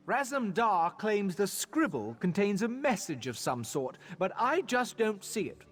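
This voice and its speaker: A snooty elven guard for a fantasy game. The voice sits high and swings animatedly, full of disdain and curt superiority toward outsiders. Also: male voice, very animated, unhurried, faint music behind, exaggerated voice. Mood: haughty, dismissive. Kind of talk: video game character dialogue.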